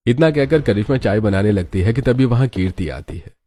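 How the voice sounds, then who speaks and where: A man's voice: mid-range voice, expressive, fairly quick, mellow. A man reading scripted narration for a cartoon story.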